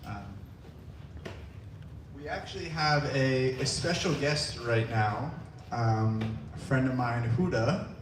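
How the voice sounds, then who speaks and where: A man's voice: mid-range voice, slow. A man hosting a live open mic, easygoing and hesitant with lots of fillers. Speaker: open mic host and musician. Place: indoor open mic venue. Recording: roomy venue, distant mic, background noise.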